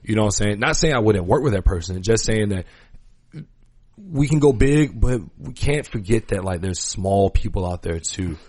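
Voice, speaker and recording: A man's voice: on the low side, lively intonation, normal speed, darkish tone, no room sound. A man hosting a podcast, talking casually and earnestly in slangy language, giving his opinions and repeating points for emphasis. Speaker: podcast host. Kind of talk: casual conversational podcast talk.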